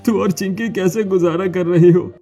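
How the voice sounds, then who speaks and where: A man acts out an ailing cartoon husband, weary and despairing. The voice is high for a man, soft-edged and dark, with a husky, pained grain. Music plays behind. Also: steady tone, normal speed. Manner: pained, groaning. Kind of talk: animated story dialogue.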